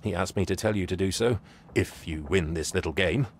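Low-voiced, polite sailor-messenger, some background noise. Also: male voice, fairly quick. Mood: impressed, courteous. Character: gallery employee disguised as a sailor, admiring messenger. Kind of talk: video game cutscene acting.

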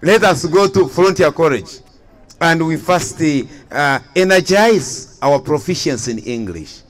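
A man's voice: on the high side, expressive, measured pace. A young man giving an emphatic, showy persuasive debate speech into a handheld mic at an outdoor evening event. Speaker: debate participant promoting his college.

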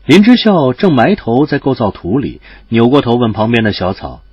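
A man narrating a campus romance audio drama as a gentle storyteller, his phrases trailing off softly. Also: medium pitch, very animated, normal speed, husky, dry recording, lo-fi sound. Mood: tender, dramatic. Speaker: narrator and actor. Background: music.

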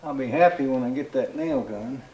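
A man's voice: medium pitch, moderate pace, a little hiss or hum. Middle-aged man explaining as he works. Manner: relaxed, folksy, talks while working with many fillers. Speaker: homesteading vlogger. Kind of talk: casual how-to narration while working. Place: unfinished cabin interior with plastic-sheeted walls. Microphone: camera mic from across the room. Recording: roomy, slightly echoey camera audio.

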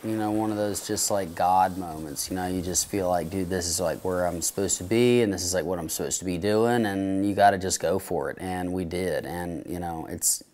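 A man in his thirties, a trout farmer, talking in a relaxed, chatty way full of fillers during an indoor interview. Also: deep voice, moderate pace, few pauses. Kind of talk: casual interview. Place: indoors at a table in a room.

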